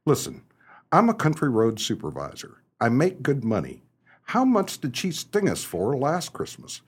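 A man playing an exasperated, wry small-town husband in a stagey comedic radio play, animated and sardonic. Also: medium pitch, moderate pace, breathy edge. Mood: wry, grumbling.

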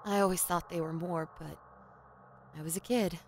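A woman's voice: lowish voice, fairly even intonation, normal speed, a little hiss or hum, natural voice. An actress playing a wary young woman in an audio horror drama, terse, reluctant and skeptical. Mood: uneasy. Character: guarded, wary young woman haunted by childhood fear.

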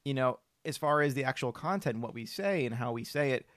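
A man's voice: medium pitch, expressive, fairly quick, no echo, clean studio sound. A man co-hosting a podcast, chatting casually in a rambling, easygoing way. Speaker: podcast co-host. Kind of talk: casual, informal chat.

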